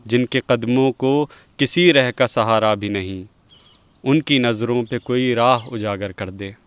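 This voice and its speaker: A man with a mid-pitched, very clear voice presents poetry. His delivery is unhurried, measured and earnest, recorded close with little room sound. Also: fairly bright tone, no echo. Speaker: poetry reciter. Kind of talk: poetry recitation with a short spoken introduction.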